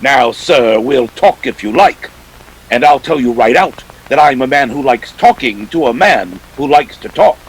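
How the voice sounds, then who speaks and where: A man's voice: medium pitch, animated, unhurried, slightly rough, poor audio. A man acting a wordy, jovial but dangerous fat crime boss in a 1940s detective radio play. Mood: jovial, expansive. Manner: pompous, orotund, savoring his words.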